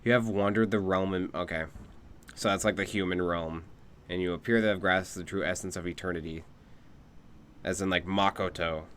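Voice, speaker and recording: A man's voice: deep voice, fairly even intonation, normal speed, fairly bright tone, slight background noise. A man streaming a video game, giving casual live commentary and musing aloud. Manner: muses aloud, repeats phrases, casual swearing.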